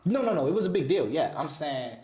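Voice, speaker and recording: A man's voice: a little high, big pitch swings, brisk, a little mellow, reverberant. A man in his late twenties with a Washington DC area accent, candidly telling his story in a casual podcast interview, using street slang. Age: 20s. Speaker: podcast guest.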